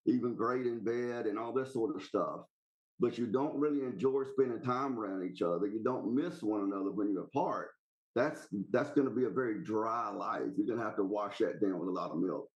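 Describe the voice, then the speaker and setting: A man's voice: on the low side, fairly quick, highs cut off. A man with a Southern US accent, calling in to a podcast and talking in a blunt, folksy, rambling way. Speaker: podcast caller. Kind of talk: casual opinionated chat.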